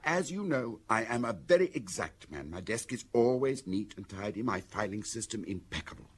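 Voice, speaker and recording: An actor playing a fussy, exacting, excitable writer with a precise and pompous way of talking in a radio drama. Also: male voice, fairly low pitch, moderate pace, breathy edge. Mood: fussy, self-justifying.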